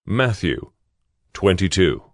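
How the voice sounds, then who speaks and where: A man's voice: deep voice, sing-song, slow pace, dry recording, clean studio sound. A man giving scripted narration for a dramatized audio Bible.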